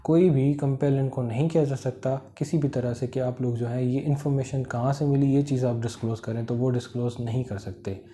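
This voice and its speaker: A man with a Pakistani accent giving an informal explanatory lecture, halting and repetitive, with many filler phrases. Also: mid-range voice, fairly even intonation, dark tone. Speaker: law lecturer. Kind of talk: informal explanatory lecture, Urdu mixed with English legal terms.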